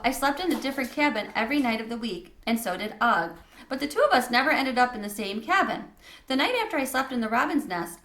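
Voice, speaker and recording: A woman's voice: a little high, fairly bright tone. Woman reading a children's story, echoey room. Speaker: read-aloud storyteller.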